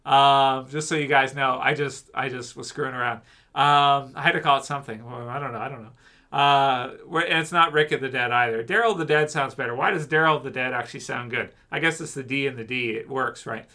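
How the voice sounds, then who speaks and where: A man's voice: mid-range voice, fairly quick, pushed and bright. A man hosting a livestream, chatting casually and rambling with jokes while answering his chat. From Canada. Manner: rambling, joking, talks back to chat. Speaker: livestream host.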